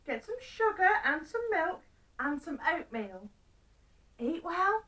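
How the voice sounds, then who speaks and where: Woman as a brisk wife, high-pitched. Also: very animated, sharp tone, far from the mic, heavily acted, poor audio. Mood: brisk, caring. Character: old man's wife, brisk, caring, instructing. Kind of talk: children's storybook character voice.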